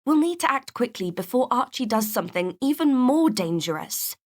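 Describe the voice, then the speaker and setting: A woman's voice: a little high, sing-song, normal speed. A woman playing an earnest, level-headed cartoon heroine, determined and encouraging. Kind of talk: scripted character dialogue.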